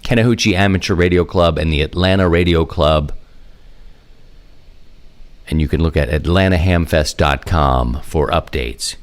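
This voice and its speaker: A man's voice: rumbling low, moderate pace, pauses often. A man hosting a podcast in a casual radio style, with a playful, folksy, rambling manner and self-deprecating asides. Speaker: podcast host.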